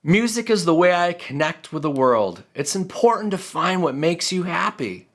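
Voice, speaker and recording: A man's voice: high voice, sing-song, normal speed. A man who is the musician on a children's storytime show, speaking to young viewers. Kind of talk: singing children's songs with guitar. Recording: loud guitar music with the voice. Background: guitar.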